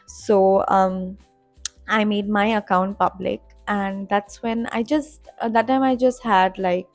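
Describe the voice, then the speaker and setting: A woman's voice: mid-range voice, fairly even intonation, slow, dry recording, faint music behind. A woman in her twenties, a content creator, telling her story in a casual, rambling vlog chat full of fillers and restarts. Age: 20s. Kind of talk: casual vlog talk to camera. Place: home in Dubai.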